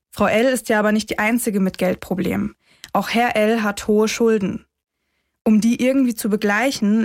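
A woman hosts a true-crime podcast in pronunciation, reading from a script. Her voice sits at an average pitch for a woman, and she sets out each step in plain narrative order. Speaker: podcast host.

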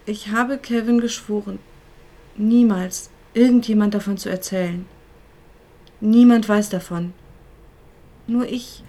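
A woman's voice: mid-range voice, steady tone, unhurried, pauses often, mellow, close to an everyday voice. An actress playing a guarded, defiant young woman in a naturalistic crime drama, speaking with emotion. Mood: confiding, subdued. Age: young adult.

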